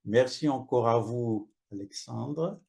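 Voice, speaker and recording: A man chairing an online speaking club meeting, polite and measured. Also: medium pitch, gravelly, mellow.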